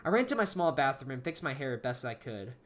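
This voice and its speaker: Low, animated woman narrating horror. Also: fast, slight background noise. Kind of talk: amateur horror story narration.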